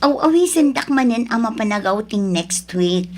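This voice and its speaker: A woman's voice: a little high, animated, normal speed, darkish tone. A woman with an Ilocano Filipino accent acting a young wife and daughter in an audio drama. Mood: coaxing, wifely.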